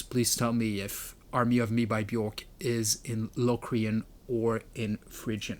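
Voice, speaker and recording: A man presenting a music gear tutorial in a casual, explanatory way. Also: mid-range voice. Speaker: presenter demonstrating a music pedal. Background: keyboard and synth demo notes.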